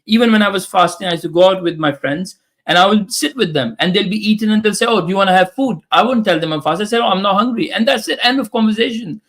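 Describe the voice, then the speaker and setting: A man's voice: high-pitched, quick pace, a bit bright. A man from Pakistan, whose first language is Urdu, speaking in emphatic, opinionated casual talk and often repeating phrases. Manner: emphatic, repeats phrases, acts out quoted voices.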